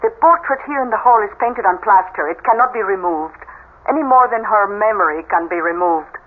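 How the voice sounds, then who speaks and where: An actress as a solemn Spanish-accented housekeeper, tinny sound. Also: female voice, mid-range voice, expressive, fairly quick, natural voice. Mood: defiant. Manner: solemn, unyielding, emphatic. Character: proud, stubborn housekeeper devoted to her dead mistress. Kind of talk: radio mystery acting. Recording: tinny telephone-like old radio recording.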